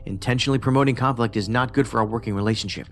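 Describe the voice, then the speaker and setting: An actor playing a pedantic, socially awkward federal investigator in a science fiction audio drama, speaking precisely and formally with a detached, musing manner. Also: male voice, mid-range voice, very fast, close to an everyday voice. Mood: dryly defensive. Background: music under the voice.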